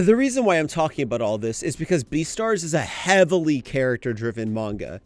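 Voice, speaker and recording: A man's voice: medium pitch, dry recording. Young adult male, video essayist, conversational, opinionated, very varied intonation. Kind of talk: scripted video essay commentary.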